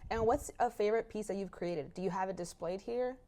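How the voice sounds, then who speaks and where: A woman's voice: mid-range voice, a little fast, dark tone. A woman hosting a casual interview podcast, encouraging and affirming as she talks with her guest.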